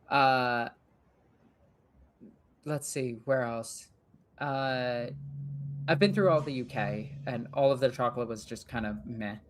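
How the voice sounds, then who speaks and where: A young adult gaming streamer chatting casually and rambling while playing a strategy game, swearing now and then. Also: mid-range voice.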